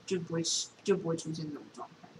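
A woman's voice: sits low, rapid-fire. A middle-aged woman with a Taiwanese Mandarin accent chatting casually and candidly as a podcast co-host. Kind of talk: casual chat. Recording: noisy, distant from the microphone.

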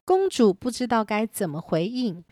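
Lively woman narrating a children's story. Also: a little high, normal speed, dry recording, clean studio sound.

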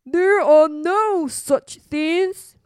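A woman's voice: very high-pitched, very slow, no echo, pitched above the performer's own voice, heavily acted. A woman voicing a patient but firm storybook king and father, emphatic and reassuring. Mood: exasperated, reassuring.

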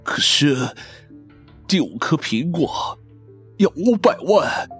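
A man's voice: on the high side, very animated, slow pace, a bit bright, breathy edge, faint music behind, heavily acted. A man playing a desperate, self-justifying middle-aged gambler and father in a radio drama, defensive in manner. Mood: scheming, frustrated.

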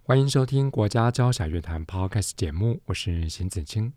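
A man's voice: lowish voice, lively intonation, normal speed, warm tone. A man with a Taiwanese accent hosting a podcast interview in a polished, articulate broadcast delivery. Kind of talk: scripted podcast introduction and interview.

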